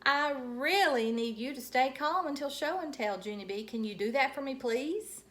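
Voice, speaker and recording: A woman's voice: high-pitched, expressive, a bit bright, pitched above the performer's own voice. Woman playing a weary, firm male schoolteacher. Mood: exasperated. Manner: measured, admonishing. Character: weary, firm but patient male first-grade teacher. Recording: noticeable background noise.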